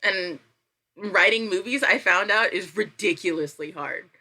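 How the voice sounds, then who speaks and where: A woman with a bright, forward voice at an ordinary pitch, chatting as a guest on a podcast. She tells anecdotes in an animated, self-deprecating way, often quoting what she was thinking. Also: measured pace. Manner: animated, self-deprecating, quotes own thoughts aloud. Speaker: podcast guest, writer and creator. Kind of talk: casual chat, anecdotal storytelling.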